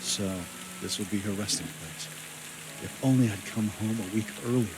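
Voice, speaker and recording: A low-voiced, brooding man in mourning played by a male actor, weighed down by guilt, speaks somber, measured video game dialogue. Steady rain noise runs clearly behind him. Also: close to an everyday voice. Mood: bitter sorrow.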